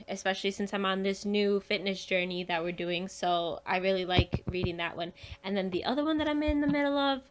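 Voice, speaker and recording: A woman speaking as a podcast guest in a casual book chat, informal and chatty, laughing readily. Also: mid-range voice.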